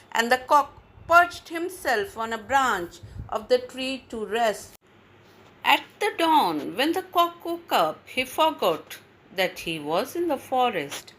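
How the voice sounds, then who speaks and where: A woman reading scripted moral stories for children, deliberately and phrase by phrase, as a storyteller. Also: a little high, fairly bright tone, low-quality recording.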